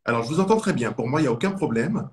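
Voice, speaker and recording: A man hosting a live-streamed interview, talking warmly and at length with rambling questions. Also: mid-range voice, expressive, very fast, a crowd in the background. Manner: warm, effusive, rambling questions. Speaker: interview host.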